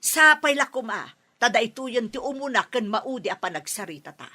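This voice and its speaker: A haughty Filipino mother in a radio drama. Her voice is very bright, hard-edged and fairly high. She scolds and mocks with scornful authority. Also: expressive, fairly quick. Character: domineering, scornful mother who rejects her son's girlfriend. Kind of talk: Ilocano radio drama acting.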